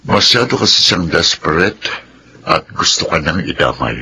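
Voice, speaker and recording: An actor playing a grave, guilty doctor confessing in a radio drama. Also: male voice, low voice, sing-song, normal speed, creaky, sharp tone, breathy edge, heavily acted. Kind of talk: Filipino radio drama acting.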